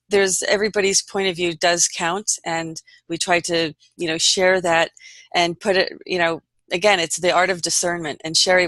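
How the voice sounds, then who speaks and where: A woman hosting an unscripted online panel, speaking in an earnest, halting, meandering way. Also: on the low side, fairly bright tone, no echo. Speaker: host of an online panel report.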